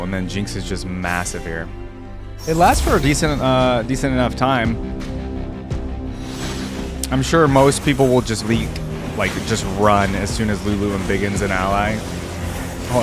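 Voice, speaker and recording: A man's voice: fairly low pitch, unhurried, pauses often, over music. A man giving casual, easygoing gaming reaction commentary, informally thinking aloud as he hosts. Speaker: reaction video host.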